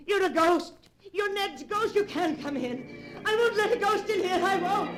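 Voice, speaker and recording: An actress playing a stubborn, superstitious and frightened old aunt in a tremulous 1940s radio drama style. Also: female voice, extremely high pitch, expressive, moderate pace, a bit bright, faint music behind. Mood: terrified, defiant. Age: older. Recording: noisy 1940s radio recording.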